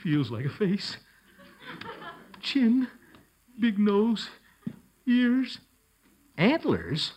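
An actor playing a blustering, jittery middle-aged amateur sleuth in 1940s radio comedy, muttering to himself and breaking into theatrical exclamations. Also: male voice, high-pitched, huge pitch swings, extremely slow, pauses often, a little mellow, husky, heavily acted. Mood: nervous. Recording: old radio broadcast with music behind.

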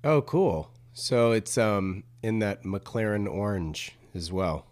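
A man's voice: lowish voice, lively intonation, slow, darkish tone. A man hosting a podcast, chatty and enthusiastic as he interviews his guest. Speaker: podcast host. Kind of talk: casual interview chat.

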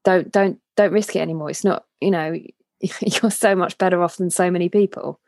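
A woman's voice: on the low side, fairly even intonation, normal speed, a little mellow, dry recording, studio quality. A woman in her thirties co-hosting a podcast, talking in a casual, heartfelt and reflective way that is full of restarts. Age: 30s. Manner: reflective, many restarts and trailing phrases. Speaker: podcast co-host.

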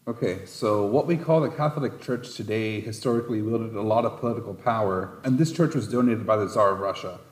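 Male podcast host, low-pitched, quick chatty delivery, reverberant room. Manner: chatty, loose, filler-heavy. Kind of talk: casual interview chat. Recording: roomy echoey room with long reverb.

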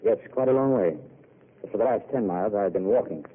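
Tired poetic hitchhiker, low soft voice, old tinny radio. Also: male voice, brisk, breathy edge. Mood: reflective. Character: weary, poetic drifter, gentle and fatalistic. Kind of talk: 1940s radio acting.

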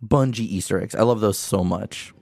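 A man co-hosting a casual gaming podcast, chatty and enthusiastic, with quick asides. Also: lowish voice, vocal fry, a little mellow, dry recording, studio quality. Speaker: podcast co-host.

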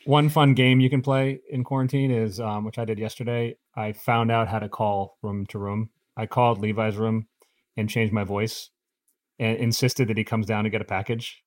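A man in relaxed, informal conversation, swapping questions and anecdotes. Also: on the low side, normal speed, dry recording, studio quality. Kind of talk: casual chat.

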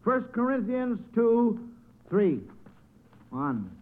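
A man's voice: extremely high pitch, sing-song, drawn-out words. A man with an American accent hosting an old-time radio quiz in a folksy, encouraging, chatty manner. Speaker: quiz show host. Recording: muffled narrow-band vintage radio recording.

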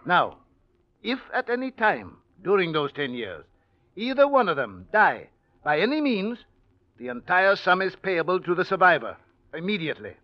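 An actor playing a stern, controlling, wealthy old uncle in 1940s radio drama, imperious and deliberate. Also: male voice, high voice, big pitch swings. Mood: firm, businesslike. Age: older. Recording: dull vintage radio recording.

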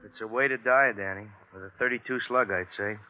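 An American actor playing a wry, plain-talking police detective sidekick in a 1950s radio crime drama, casual and wisecracking. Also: male voice, on the low side, a little fast, close to an everyday voice. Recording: narrow, tinny vintage radio recording.

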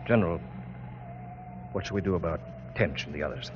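An actor playing a grim, practical army aide in a radio drama, speaking in a blunt, subdued, respectful way. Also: male voice, low-pitched, brisk, natural voice. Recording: dull old radio, music underneath.

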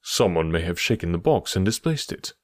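An unflappable detective, as a male reader voices him, answers in a low, dry, unhurried tone. His voice sits low and plain. The recording is close with no room sound. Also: sing-song, dry recording. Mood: cool. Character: calm, cool male detective.

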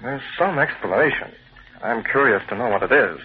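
A man playing a calm, shrewd investigator in charge in an old-time radio crime drama, measured, curious and authoritative. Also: mid-range voice, breathy edge. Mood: measured, commanding. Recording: muffled, hissy vintage radio recording.

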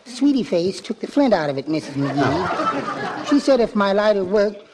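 A meek, henpecked American husband in a vintage radio comedy. He talks timidly and politely in a deadpan way, over clear broadcast noise. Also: sits high, normal speed. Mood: meek, resigned. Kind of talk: 1940s radio comedy acting.

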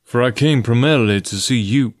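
A man's voice: medium pitch, no echo, close to an everyday voice. Man as male suitor around forty, earnest, pressing, formal, storybook character, very dry sound. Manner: deliberate, formal, blunt.

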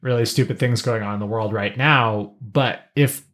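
A man's voice: lowish voice, fairly even intonation, moderate pace, a bit bright. Man chatting casually, rambling as he thinks. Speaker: podcast host. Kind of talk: casual pop-culture discussion.

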